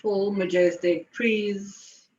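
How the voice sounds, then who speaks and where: A woman slowly guiding a meditation in a soothing, unhurried way, with lingering pauses. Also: medium pitch, fairly even intonation, a little mellow. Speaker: guided meditation leader. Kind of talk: slow guided meditation. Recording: dull, echoey room recording.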